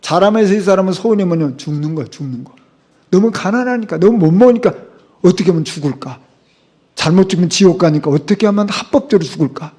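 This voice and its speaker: A man's voice: high-pitched, animated, fast talker, mellow. A middle-aged man preaching a sermon as a pastor, speaking emphatically and asking the congregation rhetorical questions. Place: church sanctuary.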